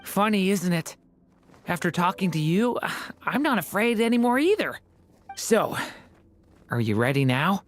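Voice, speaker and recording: Casting: kind boy hero for a game, soft-hearted, expressive, slightly low, light music behind. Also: unhurried, long pauses. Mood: encouraging. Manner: kind, earnest. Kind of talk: video game character acting.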